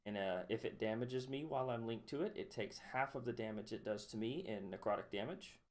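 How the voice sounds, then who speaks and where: A man's voice: medium pitch, brisk. A man playing a tabletop game, describing his turn in a hesitant way, thinking aloud. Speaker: player. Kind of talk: casual tabletop play.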